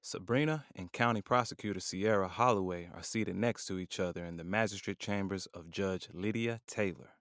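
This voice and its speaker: A man narrating a scripted audio drama in a measured, descriptive way. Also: sits low, a bit bright, no room sound, clean studio sound.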